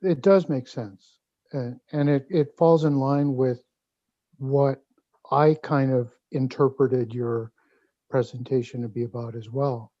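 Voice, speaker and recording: A man from Hawaii making a thoughtful, measured comment as an audience member on an online call with muffled audio. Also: medium pitch, fairly even intonation, no echo. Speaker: audience member asking a question. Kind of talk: conversational question and comment.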